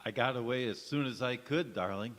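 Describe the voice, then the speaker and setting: A man's voice: medium pitch, brisk, lightly acted. A man acting a devoted young bridegroom, earnest and courtly, in an old-time radio romance on a stage. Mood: cheerful. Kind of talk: golden age radio drama acting. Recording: echoey church hall stage recording.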